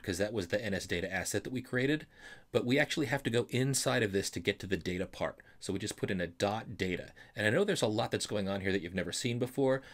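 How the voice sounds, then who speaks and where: A man explaining things step by step as an instructor in a screencast programming tutorial, reassuring and stressing key terms. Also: fairly low pitch. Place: voice-over for a screen recording.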